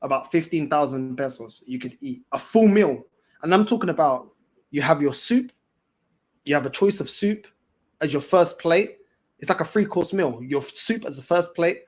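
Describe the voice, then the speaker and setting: Husky London man, chatting casually. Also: on the high side, lively intonation, normal speed. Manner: slangy, repetitive, emphatic. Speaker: fan channel host. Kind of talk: casual livestream chat.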